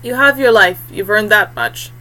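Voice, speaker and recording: A woman's voice: medium pitch, normal speed, sharp tone, close to an everyday voice, low-quality recording. A woman voicing a scornful, commanding undead warrior chief in a fantasy story, bellowing formally and with contempt.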